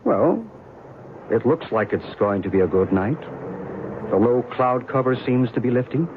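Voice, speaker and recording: An actor playing a knowing, enigmatic American narrator and believer in an old-time radio drama, measured and assured. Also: male voice, mid-range voice, animated, lightly acted. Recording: tinny, noisy old radio recording.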